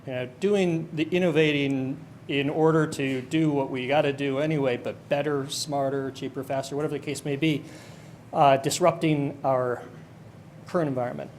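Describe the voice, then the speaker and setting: A man's voice: medium pitch, steady tone, normal speed, darkish tone, slight background noise. A man moderating a conference panel, casual and affirming, linking loosely strung phrases into a question for the next speaker.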